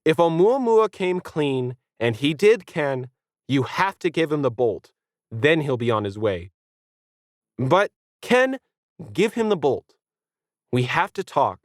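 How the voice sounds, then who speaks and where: A man's voice: a little high, very animated, slow pace, dry recording, studio quality. A man voicing a knowing pet dog who takes charge in a science fiction story. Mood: firm. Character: commanding talking pet dog, firm and knowing. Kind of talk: acted sci-fi storybook dialogue.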